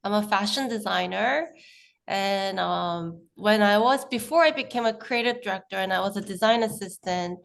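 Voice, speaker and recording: A woman's voice: mid-range voice, moderate pace, vocal fry, a little hiss or hum. A woman fashion designer introducing herself on a video-call panel in a plain, earnest way. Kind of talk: conversational self-introduction.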